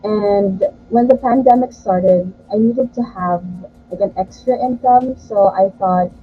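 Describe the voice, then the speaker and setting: A woman's voice: medium pitch, measured pace, narrow, tinny audio, faint music behind. A young woman from the Philippines whose first language is Tagalog, a guest tutor talking earnestly over a video call. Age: young adult.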